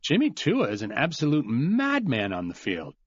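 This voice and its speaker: A man's voice: medium pitch, huge pitch swings, normal speed, no room sound, lo-fi sound, pitched above the performer's own voice. A man voicing a blunt, defiant father with a wry, snarling edge, in an audiobook.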